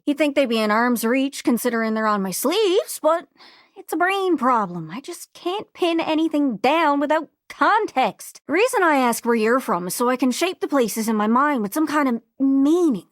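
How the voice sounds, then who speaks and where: A woman's voice: sits high, no echo, clean studio sound. A woman voicing an eager, curious young globe character in a video game, chatty and folksy, with a Newfoundland accent. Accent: Newfoundland Canadian. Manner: excitable, friendly, rambling, folksy.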